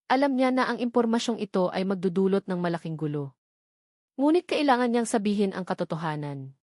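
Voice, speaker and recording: A woman reading a scripted dramatic story as narrator, in a measured, solemn storytelling delivery. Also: medium pitch, darkish tone, no echo, studio quality.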